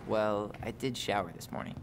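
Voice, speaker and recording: A man's voice: fairly low pitch, moderate pace, a little hiss or hum, natural voice. An actor playing a guarded, wry young man in a naturalistic video game performance. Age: young adult.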